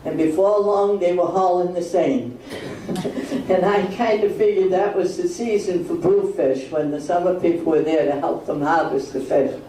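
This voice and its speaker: A woman's voice: fairly low pitch, normal speed. Older woman reminiscing in a roomy hall. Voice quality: loud voice. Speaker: speaker sharing memories. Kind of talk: oral history reminiscence. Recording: roomy hall from far off, faint noise.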